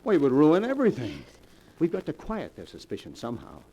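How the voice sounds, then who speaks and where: A man's voice: on the high side, huge pitch swings, brisk, dark tone, slightly rough, dull audio, exaggerated voice. A man playing a scheming nephew, urgent and conspiratorial, in 1940s radio acting style. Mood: anxious scheming. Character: scheming nephew plotting against his old aunts. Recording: noisy vintage 1940s radio recording.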